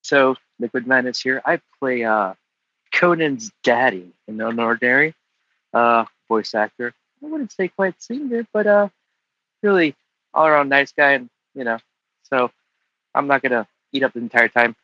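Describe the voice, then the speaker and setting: A man's voice: mid-range voice, animated, moderate pace, sharp tone, dry recording, muffled recording. A man voice actor giving a brief, easygoing introduction on a podcast. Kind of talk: casual podcast chat.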